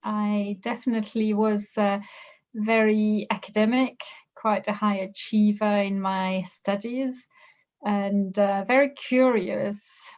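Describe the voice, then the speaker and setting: A middle-aged woman from France with a French accent, a business professor answering interview questions thoughtfully, pausing often. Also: medium pitch, a little mellow, muffled recording. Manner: thoughtful, with frequent hesitations and restarts.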